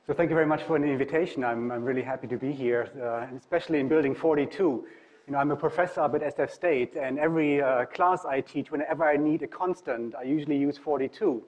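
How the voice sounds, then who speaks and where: A man's voice: on the high side, quick pace, a little mellow, lo-fi sound. A man from Germany with a German accent, speaking as a professor presenting an informal technical talk. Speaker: university professor, guest speaker.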